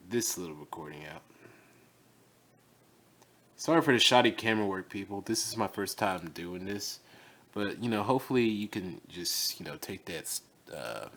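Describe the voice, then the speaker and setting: A man reads voice-over narration for a video essay in a casual, self-correcting way, stopping to redo lines and talking loosely between takes. His pitch moves a lot as he talks. He sits away from the mic in an echoey room, with a faint hum of ambience behind him. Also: expressive, normal speed, gravelly, a bit bright. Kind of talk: recording voice-over takes with off-script chat. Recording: roomy echo, distant mic, faint ambience.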